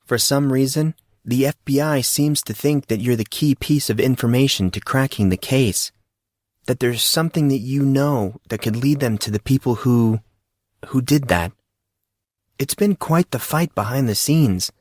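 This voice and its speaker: A man's voice: mid-range voice, moderate pace, darkish tone, no echo, clean studio sound, voice pushed up, close to an everyday voice. A man voicing a chatty, well-informed teenage schoolboy in an audiobook, explaining things casually and eagerly. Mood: serious.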